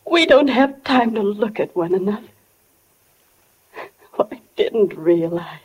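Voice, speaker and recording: An actress playing an anguished young wife in a 1940s radio drama, with a breathy, impassioned, tremulous delivery. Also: female voice, medium pitch, animated, measured pace, highs cut off. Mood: tearful farewell. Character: young wife in anguish, revisiting her childhood after death. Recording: old 1940 radio broadcast, faint music behind.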